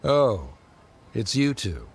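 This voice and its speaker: A man voicing a stiff, reserved middle-aged scholar and father in a video game, speaking formally and in measured phrases. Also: mid-range voice, very animated, darkish tone, slightly rough, no room sound, heavily acted.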